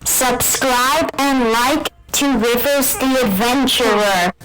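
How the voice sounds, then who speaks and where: A woman's voice: a little high, slow, fairly bright tone. A woman reciting a promotional line through warping, distorting voice-changer filters. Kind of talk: repeated channel promo line.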